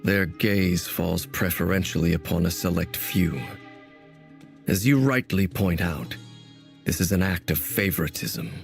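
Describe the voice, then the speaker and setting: A grave, knowing male game character with a fairly low voice. He speaks in a formal, courteous and deliberate way over faint music. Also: animated, gravelly, heavily acted. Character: grave, knowing man, philosophical about fate. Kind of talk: scripted game voice acting.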